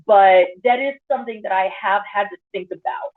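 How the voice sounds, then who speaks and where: A woman pole dance instructor telling stories on a panel, animated with quick asides, heard over a video call. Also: mid-range voice. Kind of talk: casual panel discussion. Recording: tinny phone-like video call audio.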